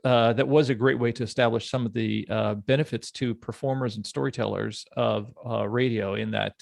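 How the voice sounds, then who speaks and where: A man's voice: medium pitch, steady tone, moderate pace, creaky, a little mellow, dry recording, studio quality. A man hosting a casual podcast chat in an enthusiastic, effusive manner. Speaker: podcast host.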